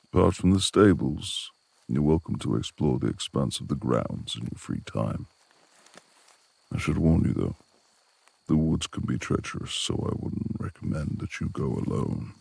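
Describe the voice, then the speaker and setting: Man acting as very deep, gravelly, brooding manor lord. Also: lively intonation, unhurried, long pauses, a little mellow, no room sound, heavily acted. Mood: gruffly considerate. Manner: formal old-fashioned diction, imperious. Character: gruff reclusive manor lord, commanding beastly master with tender streak. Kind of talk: romantic ASMR audio roleplay.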